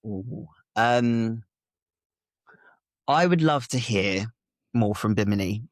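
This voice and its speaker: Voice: medium pitch, no room sound. Reflective non-binary speaker. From Italy. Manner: reflective and open, many soft hesitations. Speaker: guest, activist and public speaker. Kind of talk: candid interview talk.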